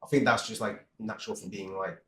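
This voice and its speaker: A man in his thirties, from the Philippines, talking as a podcast guest in a relaxed, rambling way with many restarts. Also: lowish voice, fairly quick, reverberant. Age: 30s. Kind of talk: casual interview chat.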